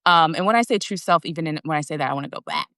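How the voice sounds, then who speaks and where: A woman with a notably low voice explains her thinking in an earnest, steady way. The sound is dry and close, as over a video call. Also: very fast, no room sound. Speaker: guest and author. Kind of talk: casual conversation on a live video.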